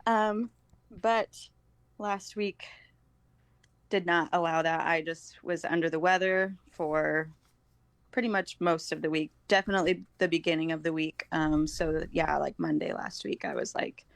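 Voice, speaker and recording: Lowish-voiced woman chatting casually, very close sound. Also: lively intonation, a little slow, creaky, no room sound. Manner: informal, hesitant, peppered with "like". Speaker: podcast guest, homeschooling mother. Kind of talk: casual chat.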